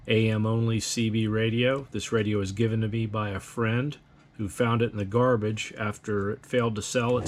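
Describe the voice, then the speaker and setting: A man giving a plain, methodical, step-by-step instructional demonstration in a matter-of-fact way. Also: fairly low pitch. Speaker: host of radio equipment demonstration.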